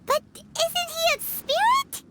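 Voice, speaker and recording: A woman voicing a small, naive, earnest childlike fairy companion in a video game, with a squeaky voice and questioning manner. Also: expressive, slow pace, heavy background noise, heavily acted. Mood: puzzled. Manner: refers to self in third person, questioning.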